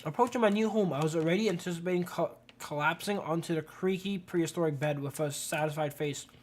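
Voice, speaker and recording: A man's voice: a little high, fast, close to an everyday voice. A man reading the part of a weary, anxious male narrator in a visual novel, introspective in manner. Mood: brooding. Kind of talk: scripted visual novel narration read aloud. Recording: music under the voice.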